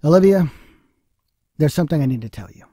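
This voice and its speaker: A man acting in an audio drama as a troubled, remorseful middle-aged husband, speaking haltingly. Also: on the high side, expressive, mellow, slightly rough, no echo, studio quality.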